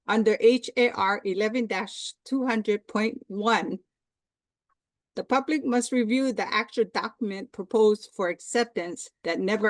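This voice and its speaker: A woman from Hawaii speaks before a hearing board, deliberate and earnest as she lays out her points. Her voice sits in a middle range. It is recorded close and dry. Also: mid-range voice, no room sound. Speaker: public testifier. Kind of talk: formal public testimony.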